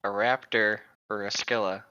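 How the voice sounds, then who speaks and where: A man's voice: on the low side, level tone, moderate pace, bright, forceful tone, clear, resonant tone, no room sound. A man chatting casually as a podcast co-host, slangy and crude in his joking, on a lo-fi microphone. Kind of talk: casual chat.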